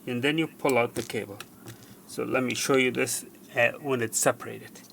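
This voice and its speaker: A man presenting a hands-on repair tutorial, explaining step by step in a halting way and repeating himself. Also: mid-range voice, steady tone, vocal fry, a bit bright. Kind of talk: hands-on how-to demonstration. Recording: faint background noise.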